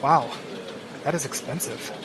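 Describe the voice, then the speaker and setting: A man's voice: medium pitch, unhurried, natural voice. A man playing a nervous young temp worker who stammers on the phone. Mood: flustered. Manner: flustered, stammering. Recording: loud background noise.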